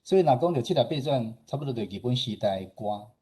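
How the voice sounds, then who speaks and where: A man's voice: mid-range voice, animated, fast, warm tone, slightly rough. Taiwanese man giving an informal explanatory presentation. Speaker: radio station director and host presenting a talk.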